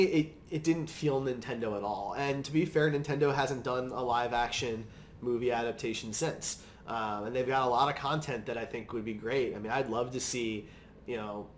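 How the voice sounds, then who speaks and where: A man's voice: mid-range voice, moderate pace, roomy sound. Chatty male podcast host with background noise. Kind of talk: casual film discussion. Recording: noisy room background.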